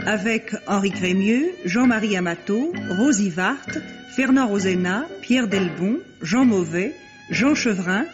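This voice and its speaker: An announcer reading radio drama credits in a formal, measured 1950s style. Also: mid-range voice. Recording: dull vintage radio recording. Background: loud music.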